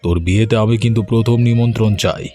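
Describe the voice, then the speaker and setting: A man playing a good-natured, flattering middle-aged village farmer in an animated story, folksy and wheedling. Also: fairly low pitch, fast talker, faint music behind, close to an everyday voice.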